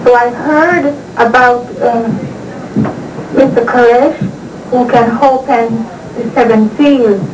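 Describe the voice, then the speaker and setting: A woman speaks calmly and sincerely in a fairly high voice. She sits well back from the microphone in an echoing room. The old archival video carries a noticeable hiss. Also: slow. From Jamaica. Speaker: interviewee, church member. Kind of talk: recorded video interview. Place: indoors in a residential compound. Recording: roomy, distant, noisy archival video.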